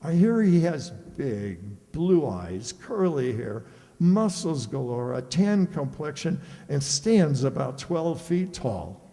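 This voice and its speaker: A man performs a breezy, boastful bachelor from a vintage radio comedy. His voice is soft-edged and a little high, with very lively intonation as he teases. A long hall reverb surrounds it. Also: takes it slowly, exaggerated voice. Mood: playful exaggeration. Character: boastful, girl-crazy bachelor pal, breezy and teasing. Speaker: amateur radio player. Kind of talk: old-time radio comedy acting.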